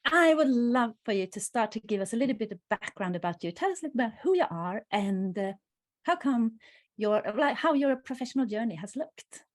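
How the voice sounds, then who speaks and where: A woman's voice: a little high, lively intonation, fairly quick. A woman hosting a seminar interview over a video call, welcoming her guest and asking questions with slight hesitation. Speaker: seminar host.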